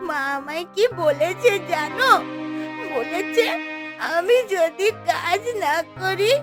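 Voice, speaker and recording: A woman's voice: very high-pitched, expressive, slow pace, full character voice. A woman voicing an innocent little village girl with a plaintive, childlike manner in a fairy-tale cartoon. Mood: hurt, plaintive. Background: music.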